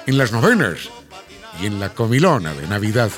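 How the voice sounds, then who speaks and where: A man narrating a family radio soap opera in an expressive, scripted storytelling manner. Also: on the low side, normal speed, soft background music.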